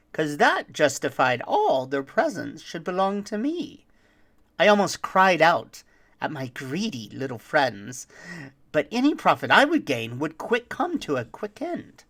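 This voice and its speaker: A performer voicing a boastful, roguish thief who tells a rhyming holiday tale with dramatic, deliberate delivery. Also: mid-range voice, a bit bright, exaggerated voice. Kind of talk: theatrical rhymed storytelling.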